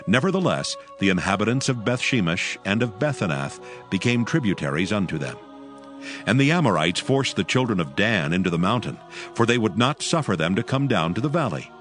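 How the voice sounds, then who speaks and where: Male scripture narrator: solemn and declamatory, lowish pitch, expressive melody, over clear background music. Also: a little fast.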